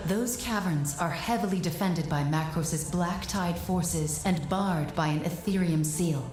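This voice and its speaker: A woman playing a calm, selfless artificial being who guides a warrior in a video game, with composed, formal diction. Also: lowish voice, a little slow, close to an everyday voice. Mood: instructive, determined. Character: calm, selfless female construct guiding a warrior. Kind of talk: dramatic video game cutscene acting. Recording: roomy echo, music under the voice.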